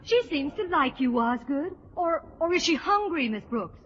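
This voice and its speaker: A woman's voice: sits high, expressive, normal speed. A woman playing a sweet, coaxing middle-aged wife with an American accent in an old-time radio sitcom. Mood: sweet, placating. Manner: coaxing, fluttery. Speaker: actress. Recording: muffled vintage radio recording, faint noise.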